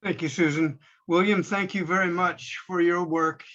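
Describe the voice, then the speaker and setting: A man speaking politely and deliberately as a participant in an online meeting, over lo-fi call audio. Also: high voice, steady tone, pushed and bright. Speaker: meeting participant asking questions. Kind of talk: courteous remarks at an online meeting.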